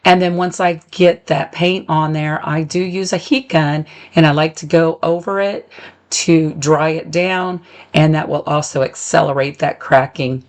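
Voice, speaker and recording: A woman talks through a crafting project in a relaxed, conversational voice-over, walking the listener through each step with the occasional um. Her voice sits fairly low for a woman, and it comes out a bit squeaky and crackly because she is still getting over being sick. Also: a little slow. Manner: friendly step-by-step instructions with occasional ums. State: recovering voice, a little squeaky and crackly. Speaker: DIY upcycling channel host. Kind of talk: casual tutorial voice-over.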